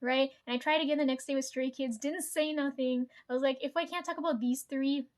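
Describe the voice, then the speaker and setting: A woman's voice: high voice, steady tone, a little fast. A woman vlogger telling a story to her viewers in casual chat, swearing freely and laughing often.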